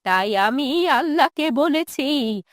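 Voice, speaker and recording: A woman's voice: high voice, animated, slow pace, dry recording, clean studio sound. A woman voicing a bitter, grieving old village mother in a cartoon moral story, with a plaintive, reproachful delivery. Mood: bitter, hurt.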